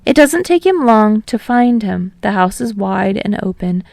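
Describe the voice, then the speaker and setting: Creaky-voiced woman narrating fiction. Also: mid-range voice, lively intonation, a little slow, a little mellow, dry recording. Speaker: audiobook narrator.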